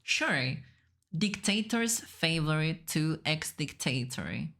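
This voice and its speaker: Low, slow Spanish-accented woman streamer. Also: expressive, fairly bright tone. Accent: Spanish from Spain. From Spain. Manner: chatty, teasing, laughs often. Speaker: VTuber streamer. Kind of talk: casual reaction commentary.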